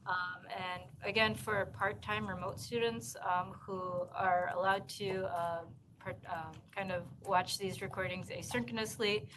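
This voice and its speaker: A woman giving course announcements as an instructor in a seminar room, speaking in a halting, hesitant way. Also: mid-range voice, fairly even intonation. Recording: noisy room recording.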